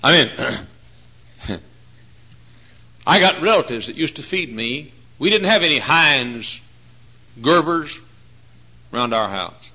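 Animated preacher, bright voice. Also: male voice, a little high, slow pace, long pauses. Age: 40s. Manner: folksy, humorous, emphatic, swings between hushed and loud. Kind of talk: folksy sermon. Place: church.

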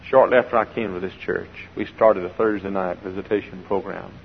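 An older man preaching a sermon from the pulpit with a froggy, gravelly voice, shifting between hollered exhortation and quiet storytelling and repeating phrases for emphasis. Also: sits low, steady tone, brisk, slight background noise. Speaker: pastor. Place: large church sanctuary. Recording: dull old recording in a reverberant church.

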